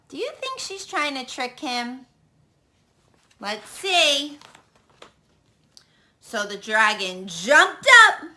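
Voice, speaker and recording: A woman storyteller reading aloud to children in an expressive way, putting questions to her young listeners. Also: high-pitched. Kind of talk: animated children's read-aloud.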